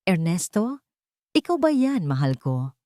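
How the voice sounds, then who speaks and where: A woman's voice: on the low side, big pitch swings, unhurried, dark tone, no echo, clean studio sound. Woman acting a confused, wistful old matriarch. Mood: tender, confused.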